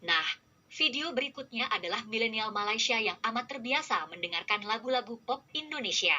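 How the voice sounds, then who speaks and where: A woman reading scripted narration in an even, formal manner. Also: a little high, a little fast, highs cut off, soft background music.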